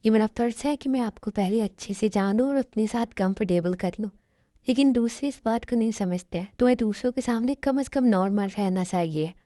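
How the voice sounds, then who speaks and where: A woman's voice: fairly high pitch, brisk, dark tone, no room sound, clean studio sound, lightly acted. A woman voicing a stern, lecturing young husband who calls his wife to account.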